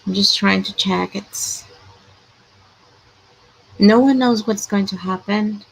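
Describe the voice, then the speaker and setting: A woman's voice: medium pitch, slow pace, gravelly, breathy edge, poor audio. A woman from Ecuador, hosting a casual live chat in a worried, hesitant way, sighing often.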